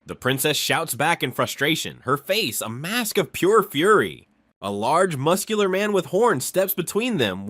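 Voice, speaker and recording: A man's voice: on the high side, a bit bright, no echo, studio quality. A man reading scripted story narration as a recap narrator.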